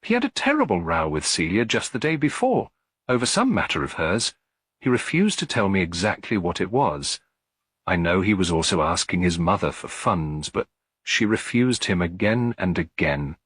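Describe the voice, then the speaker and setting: A man's voice: low voice, sing-song, moderate pace, a bit bright, no echo, exaggerated voice. A man voicing a tired, strained middle-aged widow in an audiobook, cool, guarded and composed. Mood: tense, defensive. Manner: clear but weary, composed. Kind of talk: audiobook character dialogue.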